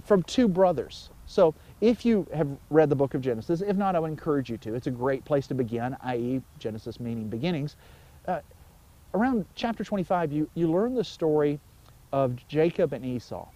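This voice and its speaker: Male pastor, forties, slightly high, soft-edged mellow voice; conversational Bible teaching with storytelling and pauses for emphasis. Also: lively intonation, rough recording. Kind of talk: informal sermon, explaining scripture. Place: outdoors by a wooded pond.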